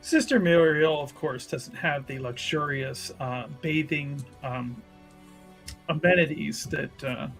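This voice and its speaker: A man playing a tabletop game, improvising narration about a character in a halting, wry, casual manner. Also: fairly high pitch, gravelly, a bit bright. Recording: music behind the voice.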